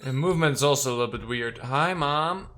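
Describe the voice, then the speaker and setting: A man's voice: medium pitch, a little fast, bright, forceful tone, slight background noise. A man giving casual, playful let's play commentary, slipping into theatrical readings of game text and talking to the characters.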